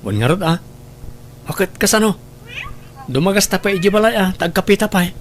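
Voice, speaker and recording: A man's voice: high voice, expressive, a little fast, a little hiss or hum. A man playing a friendly, concerned old acquaintance in an audio drama, with an Ilocano Filipino accent. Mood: friendly, curious.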